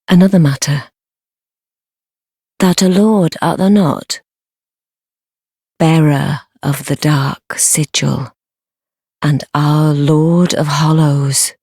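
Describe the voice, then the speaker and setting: A woman playing a sly, devoted noblewoman in a dark fantasy game, speaking formal archaic English in a low, breathy, measured voice. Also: steady tone, no room sound, clean studio sound, exaggerated voice. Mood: courtly, grateful. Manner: measured, formal archaic speech, deferential yet commanding. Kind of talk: fantasy video game acting.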